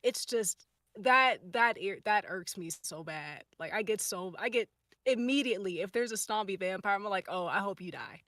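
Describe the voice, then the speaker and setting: A woman's voice: medium pitch, expressive, moderate pace, pushed and bright, dry recording, studio quality. A woman chatting casually and off the cuff, thinking aloud with emphatic repeats. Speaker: podcast cast or crew member. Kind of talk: casual, off-the-cuff group chat.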